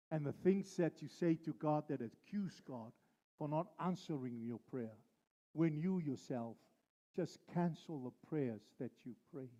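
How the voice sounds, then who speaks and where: A male pastor preaching a sermon, driving his points home with slow, repeated phrases. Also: on the high side, warm tone, muffled recording.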